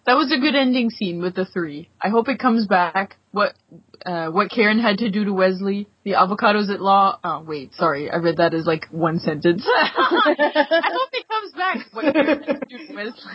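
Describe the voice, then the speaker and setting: A woman's voice: medium pitch, expressive, normal speed, husky, no echo. A woman reading a listener's email aloud, stumbling over it and laughing. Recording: dry, dull lo-fi audio.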